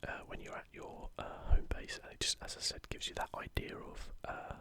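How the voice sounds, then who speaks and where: A video host speaking in a soft, unvoiced ASMR whisper, unhurried, hushed and informal. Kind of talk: whispered ASMR, leafing through and commenting on an art book.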